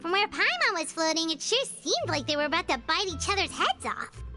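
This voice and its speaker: A tiny fairy sidekick in a video game, chirpy and caring. The voice is extremely high and childlike, swinging up and down with lots of animation, over clear background music. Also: female voice, very animated, fairly quick.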